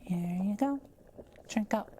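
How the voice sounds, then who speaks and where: A woman playing a kind, gentle witch in an intimate roleplay audio drama, speaking softly and carefully to the listener. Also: medium pitch, expressive, takes it slowly, a little hiss or hum. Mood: gentle, reassuring. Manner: soft-spoken, reassuring, careful explaining.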